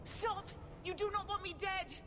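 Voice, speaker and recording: An actress playing a desperate, haughty sorceress villain, urgent and imperious, in a video game scene. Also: female voice, extremely high pitch, level tone, measured pace. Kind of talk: dramatic video game acting.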